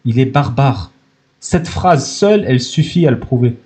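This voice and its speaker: Animated, insistent man hosting a debate. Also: medium pitch, moderate pace. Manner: insistent, blunt, emphatic. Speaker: live debate host. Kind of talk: heated live-stream debate.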